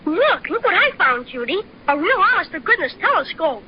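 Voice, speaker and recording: A bold, excitable young boy with a very high, lively voice, as a woman acts him out. He exclaims and chatters with bouncy energy. The recording is dull and lo-fi with a faint hiss. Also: moderate pace. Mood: excited. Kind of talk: 1930s radio drama acting. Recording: dull old radio transcription with faint hiss.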